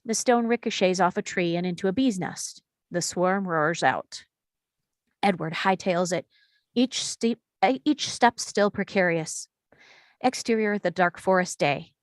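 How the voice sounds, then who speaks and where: A woman's voice: on the low side, measured pace, no room sound. Woman reading screenplay directions, dry sound. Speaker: narrator reading stage directions. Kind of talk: scripted narration at a screenplay table read.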